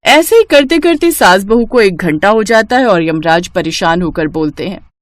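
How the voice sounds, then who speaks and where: A woman's voice: mid-range voice, expressive, normal speed, no room sound, studio quality. A woman reading scripted narration for a moral story as its storyteller.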